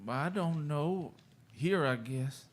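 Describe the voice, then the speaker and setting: A man's voice: on the high side, takes it slowly. A man playing a mild, timid little guitar player in a radio western, hesitant, with a rural American accent. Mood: meek. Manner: hesitant, meek. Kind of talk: old-time radio western acting. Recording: light background noise.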